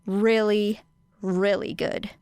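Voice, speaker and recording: Clear-voiced woman narrating fiction. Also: medium pitch, level tone, slow pace, darkish tone, no room sound. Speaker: audiobook reader.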